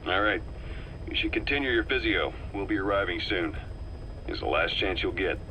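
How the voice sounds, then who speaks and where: Low, knowing spy advisor. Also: male voice, lively intonation, background noise. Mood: attentive, matter-of-fact. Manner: measured, deliberate, knowing delivery with dramatic pauses. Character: calm, knowing middle-aged male spy and military advisor. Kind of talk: dramatic scripted briefing and dialogue in a video game.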